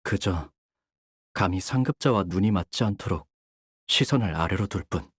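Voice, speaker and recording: A young male gang soldier from a video game, dutiful and formal with a cold threat underneath. His pitch moves widely between low restraint and high peaks. The sound is dry and close, with no room on it. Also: animated, normal speed, breathy edge, no room sound. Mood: polite, measured. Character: young male syndicate soldier, dutiful, polite, coldly menacing. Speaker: game character voice actor. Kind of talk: acted character voice lines.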